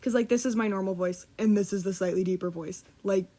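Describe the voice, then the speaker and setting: A young woman vlogging in a casual, self-deprecating ramble, laughing often and swearing offhand while trying to make her voice deeper. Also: dark tone. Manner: deliberately lowering her voice. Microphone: computer mic.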